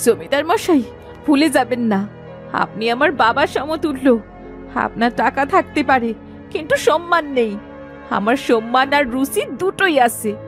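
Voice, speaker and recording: A woman's voice: sits high, lively intonation, unhurried, soft background music. A woman playing an earnest, devoted young village daughter in a melodramatic cartoon, speaking with emotion and dignity. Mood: indignant.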